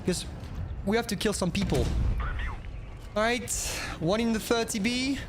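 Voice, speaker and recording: A man's voice: high-pitched, measured pace. A young man from France with a French accent, streaming gameplay and chatting casually with viewers, rambling and talking to himself. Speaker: gaming streamer. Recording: noisy, glitchy mic cutting out.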